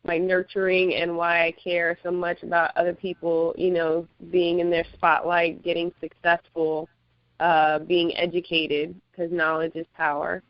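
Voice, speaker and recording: A woman from Miami, Florida, a magazine publisher giving laid-back interview answers, laughing often and pausing with fillers. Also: lowish voice, monotone, no echo, muffled recording.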